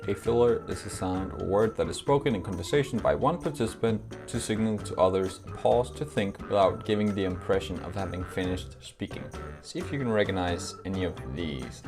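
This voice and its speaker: A young man from Denmark, speaking English, talks candidly and with self-deprecating humor to camera in a scripted vlog. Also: on the low side. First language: Danish. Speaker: YouTuber.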